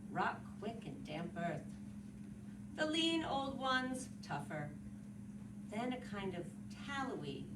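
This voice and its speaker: A woman acts out a wry, morbidly curious mourner, musing in slow fragments with a lively, swinging pitch. The dramatic reading comes from far off in an echoey, very noisy room. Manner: musing, drawn-out, broken into short phrases.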